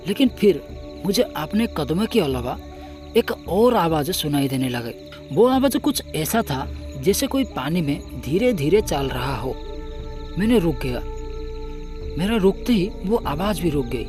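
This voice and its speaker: A man narrating a scripted first-person horror story in a dramatic, suspenseful way with deliberate pauses. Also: on the high side.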